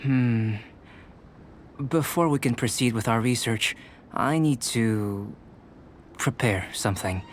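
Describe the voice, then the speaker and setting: A man voicing a calm, curious young alchemist. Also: medium pitch, slight background noise, close to an everyday voice. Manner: measured, calm, explanatory. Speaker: alchemist running experiments. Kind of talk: video game voice acting. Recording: game audio with music behind.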